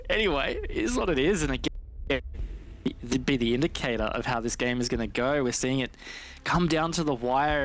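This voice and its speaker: A man's voice: mid-range voice, rough recording. A man giving colloquial, conversational esports commentary and analysis of live play. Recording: faint game gunfire behind voice. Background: video game gunfire.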